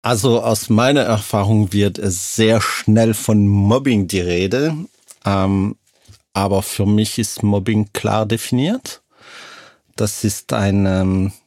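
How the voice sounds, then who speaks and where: Slow-speaking man. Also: fairly low pitch, a little mellow, no echo, studio quality. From Switzerland. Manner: deliberate, slightly broken grammar. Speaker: school social worker. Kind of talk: casual talk show chat.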